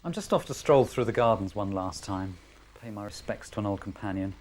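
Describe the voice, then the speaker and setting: A man's voice: on the low side, brisk, a little mellow, close to an everyday voice, poor audio. A man playing a courteous, nostalgic male village chief in an amateur audio drama, speaking with old-fashioned politeness. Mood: wistful. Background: music.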